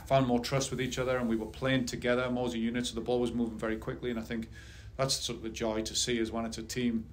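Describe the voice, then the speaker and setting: A middle-aged man speaking as a head soccer coach at a post-game press conference, measured and steady. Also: medium pitch. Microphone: table mic on a stand.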